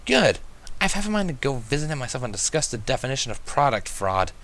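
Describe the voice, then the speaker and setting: A man's voice: mid-range voice, big pitch swings, fast talker, a bit bright, voice pushed up. A man voicing a polite, bookish young female unicorn who is eager and caring, in a storybook reading. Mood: grumbling, indignant.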